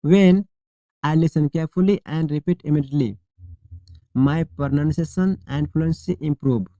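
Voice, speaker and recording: A male English teacher leading a shadowing drill, reading each model sentence slowly and deliberately, then repeating it clearly. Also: on the high side, dark tone, no room sound, rough recording.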